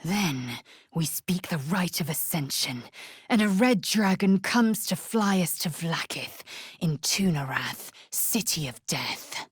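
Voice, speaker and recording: A fierce young female alien warrior from a fantasy video game, very low and husky, with strongly varied pitch. She speaks in clipped, archaic, haughty phrases, proud and disdainful. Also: lively intonation, slow, no room sound, clean studio sound, heavily acted. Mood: grave. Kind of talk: video game character voice lines.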